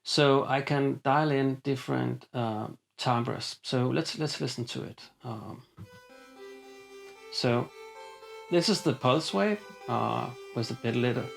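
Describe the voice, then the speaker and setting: Guy with a gravelly voice explaining his synth patch, lots of ums, faint synth music underneath. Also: mid-range voice, slow. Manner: relaxed, explanatory, with many fillers and restarts. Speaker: synth demonstrator. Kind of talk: hands-on synth demo. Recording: synth music playing behind.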